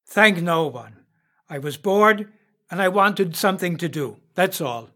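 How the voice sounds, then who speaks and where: A man's voice: sits high, lively intonation, a little slow, fairly bright tone. A man voicing a curt, cool-headed male passenger who speaks brusquely in a storybook reading. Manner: brusque, clipped.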